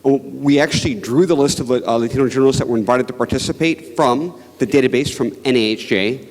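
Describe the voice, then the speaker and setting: A man's voice: medium pitch, fast talker. A man presenting survey results informally as an academic at a conference, explanatory and repeating words as he goes. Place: indoor event hall with microphone. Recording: echoey hall, long reverb.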